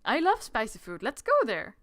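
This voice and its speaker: A woman's voice: a little high, wildly swooping pitch, normal speed, a bit bright. A woman reading aloud the lines of an earnest young runaway royal in a fairy-tale visual novel. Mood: light, eager.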